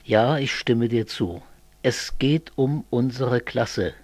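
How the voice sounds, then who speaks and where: A man playing an earnest, brooding communist in an amateur radio play, speaking in a measured way. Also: medium pitch, darkish tone, dry recording.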